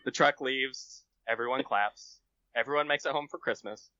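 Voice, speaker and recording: A man reads his own comic story aloud on a podcast. His voice is bright and sharp, recorded very dry and close, with a slightly lo-fi, dulled edge. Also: mid-range voice, normal speed, no room sound. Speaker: podcast guest, amateur writer.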